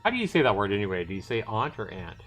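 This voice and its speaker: A man's voice: on the low side, big pitch swings, very fast, fairly bright tone. A man hosting a livestream, chatting informally about a game and reading its text aloud. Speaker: livestream host. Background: game music.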